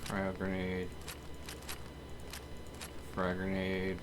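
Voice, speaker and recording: A man's voice: sits low, completely flat delivery, drawn-out words. A man giving casual live commentary as he streams a video game. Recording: faint background noise.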